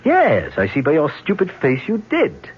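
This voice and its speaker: Husky, smug, ruthless tycoon. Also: male voice, a little high, wildly swooping pitch, normal speed, exaggerated voice. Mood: contemptuous. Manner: cold, condescending. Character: ruthless, smug, domineering male business tycoon. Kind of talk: old-time radio drama acting. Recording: muffled old radio broadcast.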